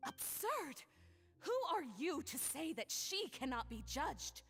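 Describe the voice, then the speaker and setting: A woman's voice: high-pitched, big pitch swings, takes it slowly, a bit bright, exaggerated voice. A woman voicing an imperious, scornful spokeswoman for a majority in a video game, with a haughty, declamatory delivery. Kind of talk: theatrical video game voice acting. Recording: long hall reverb.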